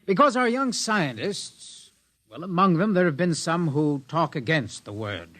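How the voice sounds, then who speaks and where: A man's voice: a little high, very animated, measured pace, a bit bright. A young man in a 1950s radio drama playing an eager cadet who asks earnest questions. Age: young adult.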